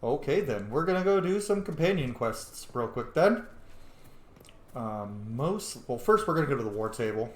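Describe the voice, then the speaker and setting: A man's voice: medium pitch, sing-song, moderate pace, roomy sound. A man hosting a gaming channel, giving informal let's play commentary and thinking aloud with some swearing.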